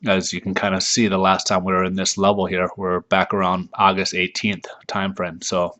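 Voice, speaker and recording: A congested man hosting a daily market report, talking loosely with many fillers and pauses. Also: low voice, monotone, pushed and bright. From United States. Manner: loose, chatty, many ums and uhs. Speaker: mortgage market report host. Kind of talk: casual daily market commentary.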